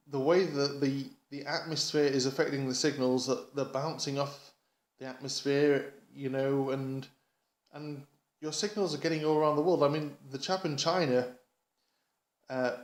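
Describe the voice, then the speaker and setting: A man from England giving a casual hobby vlog update, rambling enthusiastically and haltingly, often repeating words as he goes. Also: fairly high pitch. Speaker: amateur radio hobbyist vlogger. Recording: echoey, roomy sound.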